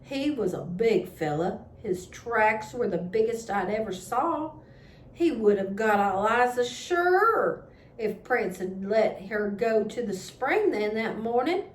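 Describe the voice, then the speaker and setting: A woman's voice: fairly high pitch, animated, slow pace. A woman voicing a plainspoken farmer uncle in a storybook, folksy and matter-of-fact. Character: plainspoken farmer uncle recounting a panther hunt. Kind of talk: children's storybook read-aloud. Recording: echoey room with background noise.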